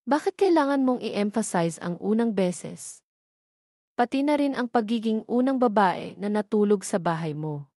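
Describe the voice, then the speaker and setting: A feisty, independent young Filipina heroine, acted by a woman narrator in a romance audio drama. She speaks scripted lines at a medium pitch, with a very dry sound. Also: lively intonation, normal speed, a little mellow, dry recording, close to an everyday voice. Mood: teasing.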